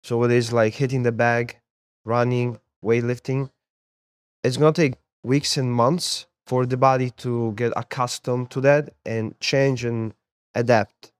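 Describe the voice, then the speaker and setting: Casting: young man from Poland, guest therapist, smooth clear voice, dry close sound, easygoing talk. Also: mid-range voice, measured pace, no room sound. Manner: relaxed, repeats words while finding them. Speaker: guest, sports therapist and MMA coach. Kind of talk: casual interview talk.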